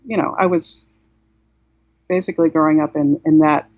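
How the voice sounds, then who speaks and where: A woman in her sixties, from South Korea, speaks at length as a reflective guest over a webcam mic at home. Her voice sits a little low, with a lot of "you know"s and restarts. The call audio is muffled and dry, with no room sound. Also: steady tone, moderate pace, no echo. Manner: reflective, talkative, many you-knows and restarts. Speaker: guest author. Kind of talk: casual interview on a video call. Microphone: laptop webcam mic on a video call.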